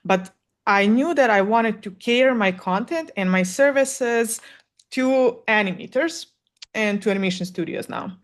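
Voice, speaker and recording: A woman's voice: on the high side, animated, a little slow. Woman coaching, thinking aloud. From Serbia. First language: Serbian. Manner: candid, thinks aloud with frequent fillers. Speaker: animation content coach. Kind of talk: solo Q&A advice talk.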